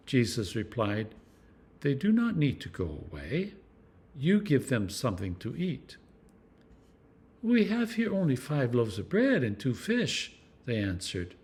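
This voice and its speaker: A man reading scripture aloud as a clergyman, in an expressive, measured storytelling manner. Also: medium pitch. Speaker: clergyman reading scripture for a church meditation series.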